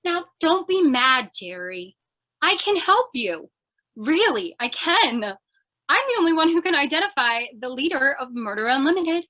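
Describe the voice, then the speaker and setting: A woman's voice: sits high, sing-song, moderate pace, sharp tone, exaggerated voice. A woman playing a plucky, scatterbrained reporter in danger in an old-time radio screwball drama, chattery and theatrical with a naive air. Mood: eager, placating.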